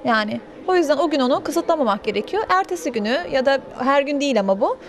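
A woman's voice: sits high, lively intonation, quick pace. A woman in her thirties answering interview questions in a cafe into a handheld microphone, chatty and explaining at length. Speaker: interviewee, actress. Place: pink-walled cafe indoors. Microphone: handheld interviewer mic.

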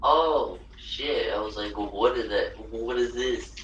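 A man's voice: medium pitch, measured pace, a bit bright, muffled recording, a little hiss or hum. A young man muttering to himself while playing a game, grumbling and cursing mildly. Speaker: gamer playing along. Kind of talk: casual gameplay commentary. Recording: distant echoey room mic.

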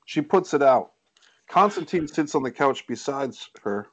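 A man's voice: a little high, expressive, moderate pace, fairly bright tone, rough recording. A man reading stage directions as scripted narration for a screenplay over a video call. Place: indoors on a group video call.

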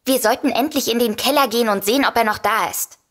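An actress playing a brisk, composed woman in a comedic audio drama. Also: on the high side, fairly bright tone. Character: brisk, composed woman who dotes on her cat.

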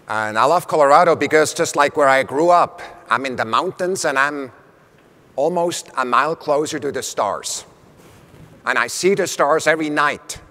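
A middle-aged man with a Swiss German accent, giving an animated keynote talk on a conference stage with a lapel mic. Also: mid-range voice. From Switzerland. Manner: animated, gesturing while speaking on stage. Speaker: keynote speaker. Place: large conference hall stage. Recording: long hall reverb.

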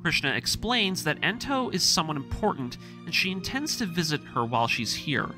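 A man's voice: fairly high pitch, very animated, normal speed, over music. A man narrating a scripted story recap, speaking to his audience as a storyteller and series narrator.

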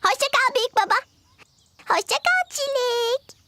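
Bossy happy little piggy girl, cartoon, super high squeaky pitch, swoops around a lot. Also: animated, measured pace, heavily acted. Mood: eager. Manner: exclaiming, eager, playful. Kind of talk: children's cartoon dub.

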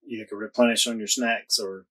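A man's voice: fairly low pitch, normal speed, husky. Man in his thirties telling travel stories. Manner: relaxed storytelling with fillers and restarts. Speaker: travel vlogger. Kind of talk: casual chat. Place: RV interior, then outdoors at a campground.

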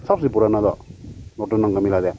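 A man's voice: fairly low pitch, lively intonation, quick pace. A man from Nagaland, India, chatting casually and inquisitively as a vlogger interviewing villagers, often acknowledging what he hears. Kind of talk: casual chat. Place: outdoors on a village road. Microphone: handheld camera, behind it. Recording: dull outdoor camera audio, loud ambient noise.

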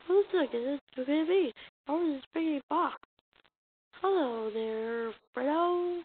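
A muffled, slightly hissy home recording of a woman with a high voice. She reads cartoon comic dialogue in an amateur dramatic style, halting and stumbling as she goes at an unhurried pace. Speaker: amateur comic dub voice actor. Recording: muffled lo-fi mic with hiss.

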